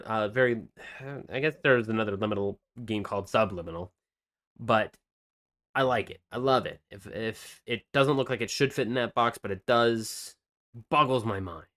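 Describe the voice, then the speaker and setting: A man's voice: fairly low pitch, fairly bright tone. A man co-hosting a podcast, chatting casually about games and rambling with lots of fillers and restarts. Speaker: podcast co-host presenting game news.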